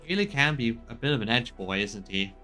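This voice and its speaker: A man's voice: mid-range voice, animated, a little fast, sharp tone, faint music behind. A man doing casual video game commentary as a streamer, chatting and reading lines aloud.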